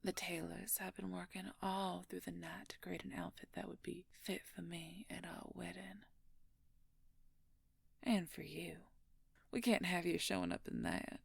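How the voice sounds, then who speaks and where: A woman playing a smug, possessive royal werewolf schemer in an audio roleplay, silky and condescending, with a husky, breathy, creaky near-whisper. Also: fairly low pitch, steady tone, no echo, exaggerated voice. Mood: smug, courting. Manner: silky, condescending, slow and deliberate. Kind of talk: audio roleplay acting, addressing the listener.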